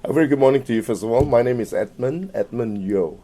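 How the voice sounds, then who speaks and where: A middle-aged man from Malaysia in an oral history interview, hesitant with many fillers and restarts, trailing off quieter at phrase ends. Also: medium pitch, fast.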